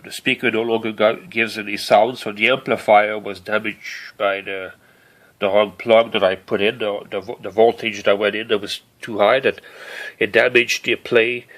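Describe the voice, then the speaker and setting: A middle-aged man narrating an unscripted hands-on demonstration as a hobbyist, halting and rambling as he thinks aloud. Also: fairly low pitch, fairly bright tone. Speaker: hobbyist showing his broken tape recorder. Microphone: handheld camera or phone mic.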